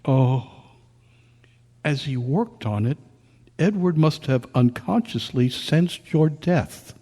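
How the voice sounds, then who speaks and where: Male, gentle radio-play storyteller read by a man, unhurried, echoing hall. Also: fairly high pitch, expressive, heavily acted. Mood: gentle, concerned. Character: Colonel Bear, kindly listener comforting a ghost, also narrator. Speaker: narrator and actor. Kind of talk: staged radio drama reading. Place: live club meeting in a hall. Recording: echoey hall with long reverb.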